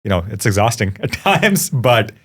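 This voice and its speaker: A man in his thirties, originally from India, talking as a startup founder on an interview podcast, reflective and often pausing with "you know". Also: lowish voice, sing-song, breathy edge. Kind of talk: casual interview conversation.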